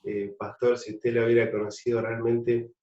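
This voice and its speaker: A man's voice: mid-range voice, little pitch movement, normal speed, darkish tone. A man with an Argentine accent, a pastor reflectively and fondly telling his life story in an interview. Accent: Argentine Spanish. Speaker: pastor guest. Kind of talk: interview testimony. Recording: distant, roomy, dull sound.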